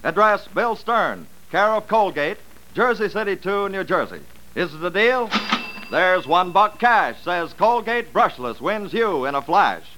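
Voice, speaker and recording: A man's voice: sits high, animated, measured pace, bright, forceful tone, slight background noise, rough recording. A man with an American accent delivering a punchy 1940s radio commercial like a salesman. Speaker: commercial announcer.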